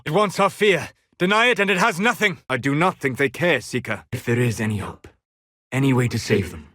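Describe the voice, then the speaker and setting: A man playing a calm, scholarly, melancholy elven mage in a video game, speaking in deliberate, formal phrases. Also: mid-range voice, no room sound, clean studio sound. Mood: urgent, forceful. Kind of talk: scripted video game character dialogue.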